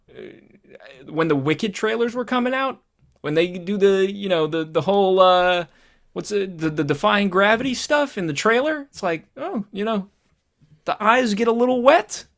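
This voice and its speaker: A man co-hosting a podcast in casual chat, halting and repeating words as he thinks aloud. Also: high voice, a bit bright. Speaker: podcast co-host. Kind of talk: casual movie discussion.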